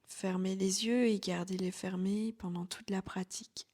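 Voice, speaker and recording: A woman leading a guided relaxation as a yoga instructor, speaking softly and calmly with breathy, near-whispered phrases. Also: medium pitch, measured pace. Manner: soft, calm, soothing delivery with breathy, near-whispered phrases. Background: background music.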